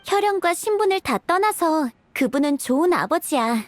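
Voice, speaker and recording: A woman voicing a small childlike fairy companion, excitable and earnest, in a cute cartoonish game style. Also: extremely high pitch, moderate pace, no room sound. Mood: earnest, helpful.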